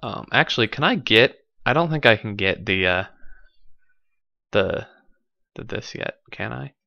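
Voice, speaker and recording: A man's voice: on the low side, lively intonation, measured pace, gravelly, pushed and bright, no room sound, muffled recording. A man with a stuffy nose commenting casually on his gameplay, thinking aloud with lots of fillers, recorded on a desk microphone at home. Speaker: let's play host.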